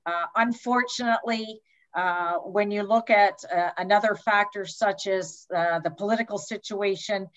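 A woman from Canada giving an online lecture over slides on a video call, speaking in a measured way with frequent hesitations. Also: mid-range voice, fairly bright tone. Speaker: guest lecturer. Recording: slightly dull video-call audio.

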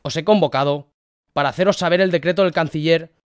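A man's voice: on the high side, fast talker, clean tone, dry recording, natural voice. A man with a Castilian Spanish accent voicing a young-sounding official making formal announcements, in stiff video game dubbing.

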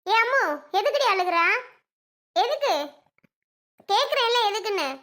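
A woman's voice: very high-pitched, expressive, a little slow, bright, forceful tone, heavily acted. A woman voicing an overprotective, nagging mother in a family drama, accusing and repeating herself. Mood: scolding.

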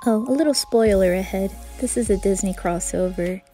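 A woman's voice: mid-range voice, normal speed, dark tone, soft background music. A woman giving a scripted introduction to an ASMR video.

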